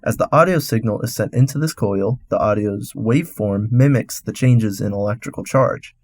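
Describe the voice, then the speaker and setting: A man's voice: lowish voice, moderate pace, darkish tone, no echo. A man hosting a radio segment, reading a scripted explainer in an instructional, step-by-step way. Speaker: radio host.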